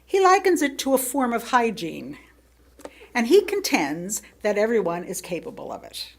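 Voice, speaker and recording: A woman reading a sermon aloud to a congregation in measured, deliberate phrases with pauses between them. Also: on the high side, wildly swooping pitch. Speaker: lay speaker reading another minister's sermon to a congregation. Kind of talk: sermon read aloud, with brief asides.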